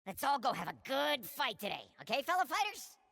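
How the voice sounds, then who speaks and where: A woman playing an ambitious, boastful little alien warrior in a video game, gravelly, eager and self-important, with long reverb. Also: high-pitched, big pitch swings, brisk, a bit bright, heavily acted. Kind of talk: video game character monologue.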